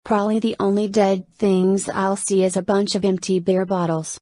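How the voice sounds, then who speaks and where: A woman's voice: mid-range voice, little pitch movement, moderate pace, warm tone, slight background noise, lightly acted. A woman acting in an audio play as a skeptical, exasperated girlfriend who talks in a plain, challenging way. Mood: annoyed, skeptical.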